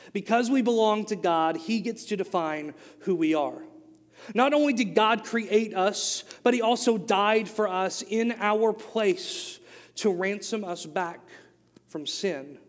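A man's voice: high-pitched, long reverb tail. A young man with an Oklahoma accent giving a youth sermon, moving from a casual story into emphatic preaching. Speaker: youth speaker.

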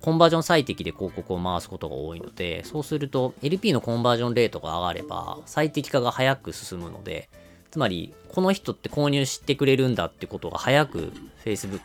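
A man's voice: mid-range voice, big pitch swings, quick pace, gravelly, soft background music. A man co-hosting a podcast, explaining business matters conversationally in long, connected runs. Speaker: podcast co-host explaining marketing.

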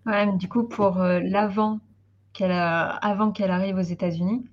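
A woman's voice: medium pitch, steady tone, moderate pace. Young woman, book club participant. Casual, acts out reactions as she tells it. Dull, lo-fi recording. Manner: informal, acts out her reactions while recounting. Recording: dull, lo-fi audio.

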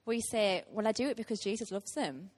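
A woman in her thirties gives a guest sermon in a church. Her voice sits at an ordinary middle pitch, and her delivery is sincere and heartfelt, with plenty of small ums between thoughts. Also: expressive, a little fast. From Teesside, England. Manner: earnest, heartfelt, with frequent ums. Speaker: guest preacher.

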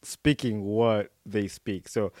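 A man from Uganda hosting a podcast in a relaxed, casual way, leaning on "like" and restarting his sentences. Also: on the low side, slow, no room sound, studio quality. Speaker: podcast host. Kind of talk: casual interview chat. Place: in America.

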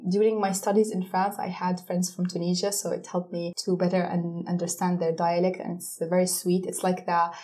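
A woman from Jordan, an Arabic speaker, patiently explaining things in a casual interview and pausing to search for words. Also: lowish voice, little pitch movement, warm tone. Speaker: interview guest.

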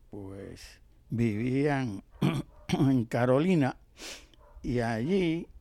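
An older Puerto Rican man, a professor being interviewed, telling history conversationally and haltingly, often pausing to search for words. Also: medium pitch, warm tone, no room sound. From Puerto Rico. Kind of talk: conversational oral history storytelling.

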